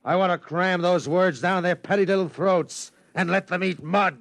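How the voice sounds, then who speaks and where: A man's voice: sits high, steady tone, moderate pace, no room sound. An American man playing a blunt, ambitious and ruthless tycoon in 1950s radio drama style. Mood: bitter, defiant. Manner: forceful, blunt, imperious. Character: ambitious, ruthless male tycoon obsessed with conquering space.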